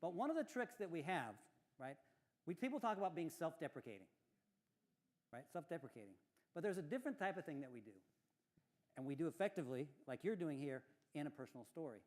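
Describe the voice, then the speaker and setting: A man's voice: fairly high pitch, very animated, fast talker, a little mellow. A man coaching at a conference workshop, explaining ideas with frequent restarts. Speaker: speech coach. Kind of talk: workshop feedback. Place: conference room with audience.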